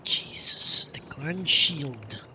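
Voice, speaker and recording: A man's voice: a little high, lively intonation, very slow, bright, forceful tone, a little hiss or hum, rough recording. A man live streaming a game, casually thinking aloud and muttering to himself as he reads menus. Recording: clear game music behind voice. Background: video game music.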